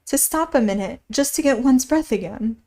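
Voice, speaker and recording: A woman's voice: fairly high pitch, animated, normal speed, a little mellow, lightly acted. A woman voicing a polite, curious young girl in a storybook, with a questioning manner. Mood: eager.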